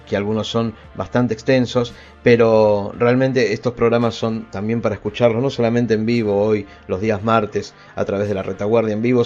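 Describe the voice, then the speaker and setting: A man's voice: lowish voice, darkish tone. A man with an Argentine Spanish accent hosting a radio programme, introducing songs and archive interviews. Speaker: radio host. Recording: dull lo-fi sound with faint music.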